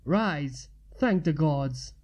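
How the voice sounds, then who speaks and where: A woman's voice: sits low, animated, very slow, a little mellow, pitched below the performer's own voice, full character voice. A woman voicing a hunted, distressed male prince in a fantasy story, hesitant and stammering. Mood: relieved.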